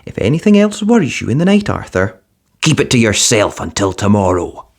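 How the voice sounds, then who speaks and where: A man voicing a patient penguin father in a children's storybook, speaking firmly and calmly with gentle teasing. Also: lowish voice, a little fast. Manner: firm, calm, gently teasing.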